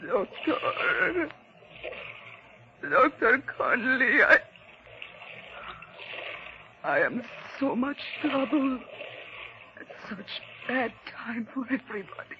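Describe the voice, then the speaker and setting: An actress playing a frail, apologetic woman in labour, pleading haltingly in a 1940s radio drama. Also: on the high side, animated. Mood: weak, pleading. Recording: noisy, muffled old radio recording.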